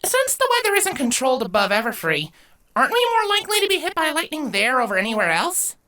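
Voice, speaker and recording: A man's voice: very high voice, huge pitch swings, fast, bright, forceful tone, a little hiss or hum, voice pushed up, exaggerated voice. A man voicing a worried, timid young princess filly with an anxious, questioning way of talking in a storybook reading. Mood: anxious, hesitant.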